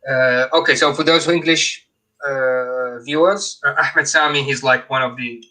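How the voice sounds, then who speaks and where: Man, Iraqi accent, young adult, very bright timbre, casual commentary, hesitant. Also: mid-range voice, steady tone, a little slow, low-quality recording. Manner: hesitant, many fillers and restarts. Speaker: co-host.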